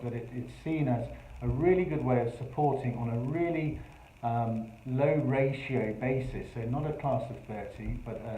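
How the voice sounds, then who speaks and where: A man's voice: medium pitch, warm tone, a little hiss or hum. A man, a Spanish teacher, earnestly explaining a revision workshop in long, rambling sentences with fillers. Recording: echoey room.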